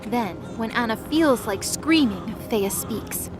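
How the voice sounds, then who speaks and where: A woman with a fairly high voice narrates a dramatic story from a script. Music plays clearly behind her narration. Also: animated, takes it slowly.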